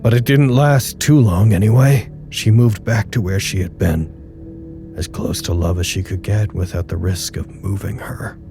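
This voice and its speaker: An actor in an audio drama monologue playing a grieving, weary facility staffer, subdued, halting and confessional. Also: male voice, fairly low pitch, gravelly, music in the background. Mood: somber, grieving. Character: grieving, weary male facility staffer broadcasting a personal log.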